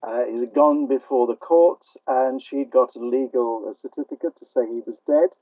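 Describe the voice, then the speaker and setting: A man's voice: medium pitch, normal speed, mellow, dry recording. An older man with a British accent answering interview questions conversationally as a playwright, a little hesitant, over a remote call line. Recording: dull, band-limited call line.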